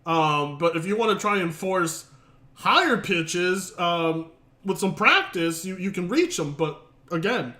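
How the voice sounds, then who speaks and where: A male streamer who talks casually in a rambling, hesitant way and swears and laughs a lot. His voice is high and bright, with lively swings in pitch. The room sounds echoey. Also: measured pace. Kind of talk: casual live-stream reaction.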